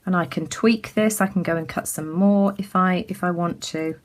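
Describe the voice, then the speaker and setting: A woman from the UK giving a casual craft tutorial as she works, chatty and full of ums, her voice a little croaky. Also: fairly low pitch, fairly even intonation, moderate pace. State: slightly croaky. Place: indoors at a craft table.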